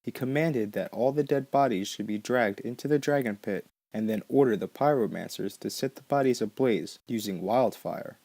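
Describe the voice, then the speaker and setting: A man's voice: medium pitch, normal speed, few pauses, dark tone, no room sound. Dry, close-sounding man narrating a podcast. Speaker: podcast host. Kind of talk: scripted narration.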